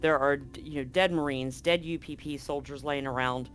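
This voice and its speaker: A man's voice: fairly high pitch, fairly even intonation, normal speed, a bit bright, faint music behind. A man running a tabletop horror game as game master, narrating loosely and correcting himself as he goes. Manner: loose, conversational, self-correcting.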